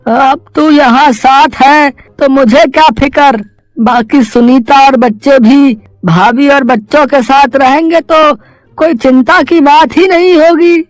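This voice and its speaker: A man's voice: very high-pitched, steady tone, slow, no room sound, low-quality recording. A man voicing a reassuring, easygoing male friend in a Hindi moral-story cartoon.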